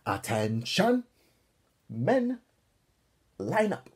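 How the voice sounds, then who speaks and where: Storybook camp counselor, acted by a male reader: gruff, scornful drill sergeant, loud drawn-out barks, husky edge. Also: on the high side, wildly swooping pitch, voice pushed up, full character voice. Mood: barking, scornful. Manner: barking, drawn-out commands, scornful.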